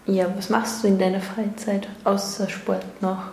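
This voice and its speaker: A woman's voice: medium pitch, flat delivery, moderate pace, gravelly, darkish tone. A woman, interviewing with short, simple questions in a patient way. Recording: echoey room with faint noise.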